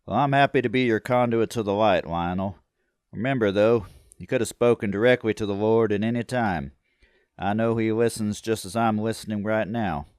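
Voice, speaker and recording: An actor playing a pious, composed prison preacher in a horror audio drama, measured and gently formal as he asks his questions. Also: fairly low pitch, smooth, no room sound, studio quality, natural voice. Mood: pious, solemn.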